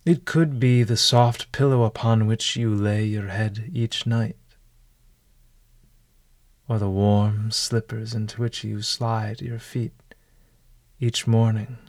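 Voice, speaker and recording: Slow-paced man guiding a meditation. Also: on the low side, fairly even intonation, darkish tone, no room sound. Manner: formal, measured, literary phrasing. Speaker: meditation guide. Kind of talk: guided meditation narration.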